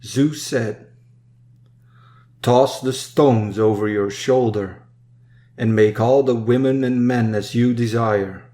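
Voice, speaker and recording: A man reading a dark narrative poem in a solemn, measured storytelling manner. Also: mid-range voice, steady tone. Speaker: poem reader. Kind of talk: solemn scripted poetry recitation.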